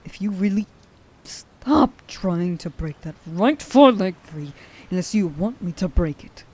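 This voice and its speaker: A woman playing a stern, grieving huntress in a dramatic ASMR roleplay monologue, halting and intense in her delivery. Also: on the low side, animated, mellow, slightly rough. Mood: angry, agitated. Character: stern, grieving young huntress who hates werewolves.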